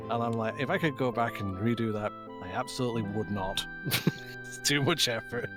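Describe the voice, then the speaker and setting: A man's voice: mid-range voice, brisk. A man talking casually as an interview guest, with dry, self-deprecating humour. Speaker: guest voice actor. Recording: clear music under the voice.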